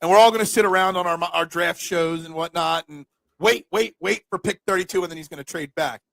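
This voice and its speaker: A loud, energetic man hosting a sports podcast, often tripping over repeated words as he pushes his questions. His voice is gravelly with plenty of fry and sits a little high. The recording is dry and close. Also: brisk, no room sound. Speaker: podcast host. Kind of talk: casual sports podcast chat.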